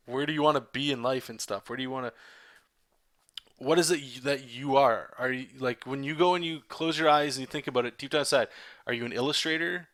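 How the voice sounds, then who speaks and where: A man's voice: mid-range voice, a little fast, fairly bright tone, no echo, clean studio sound. A man with a Canadian accent, chatting candidly with his livestream viewers while inking a comic, rambling and restarting his sentences. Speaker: artist streaming a drawing session.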